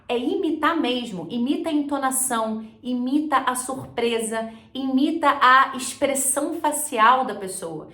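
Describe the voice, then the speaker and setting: A woman's voice: a little high, fairly bright tone, reverberant. A Brazilian woman giving a conversational, explanatory language lesson, mixing English terms into her speech as she instructs. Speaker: English teacher and video host.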